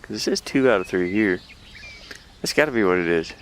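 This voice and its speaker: A man's voice: low voice, lively intonation, a little fast, a bit bright, slight background noise. A man giving casual gameplay commentary, puzzled and muttering to himself as he thinks aloud. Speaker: gamer playing and commenting on a game.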